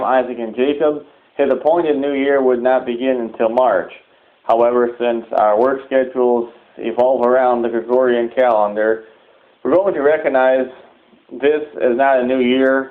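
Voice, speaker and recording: A man delivering a formal, deliberate public announcement as the leader of an organization. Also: medium pitch. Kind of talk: public address, semi-scripted announcement. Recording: narrow, phone-like sound.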